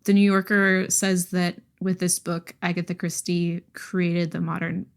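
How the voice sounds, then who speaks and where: A woman's voice: on the low side, completely flat delivery, a little slow, clean tone. A woman co-hosting a podcast, talking casually and emphatically about books, with "like" sprinkled through her sentences. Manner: chatty, emphatic, peppers talk with "like". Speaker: podcast co-host. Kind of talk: casual book discussion.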